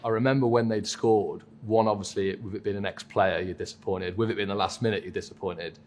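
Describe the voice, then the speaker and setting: A man's voice: fairly low pitch, fairly even intonation, a little fast, a little mellow. A man casually looking back on memories in an interview.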